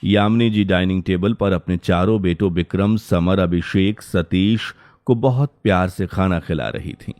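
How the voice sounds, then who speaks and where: A man's voice: sits low, moderate pace, a little mellow, no echo, studio quality. A man narrating a scripted Hindi cartoon story as its storyteller.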